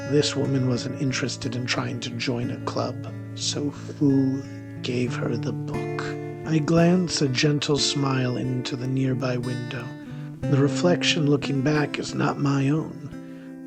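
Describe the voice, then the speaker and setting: A man's voice: medium pitch, creaky. A man acting as a sardonic warlock on the run, narrating an audio drama in a theatrical, literate, wry manner. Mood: pensive, probing, then tense, defiant.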